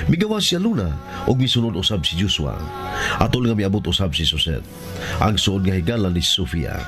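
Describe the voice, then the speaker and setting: A man with a Visayan Filipino accent narrating a radio drama recap in a dramatic scripted style. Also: low voice. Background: music.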